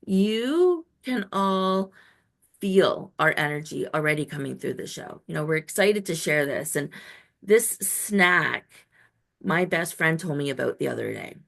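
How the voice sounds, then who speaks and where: A woman's voice: low-pitched, lively intonation, a little slow, creaky. Effusive woman podcast host in her fifties. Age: 50s. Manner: enthusiastic, effusive, informal. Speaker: show host and health coach. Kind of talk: chatty podcast interview hosting.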